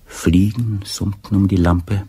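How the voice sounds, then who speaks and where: A male narrator with a very deep, gravelly and husky voice. He reads in a measured, literary way for a radio crime play. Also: fairly even intonation, dark tone. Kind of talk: scripted narration.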